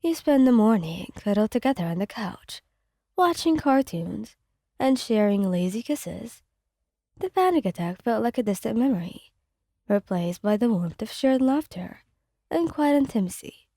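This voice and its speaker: Soft, mellow woman reading a story. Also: a little high, animated, moderate pace, no room sound, clean studio sound. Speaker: fan fiction reader. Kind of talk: soft ASMR storytelling, scripted narration.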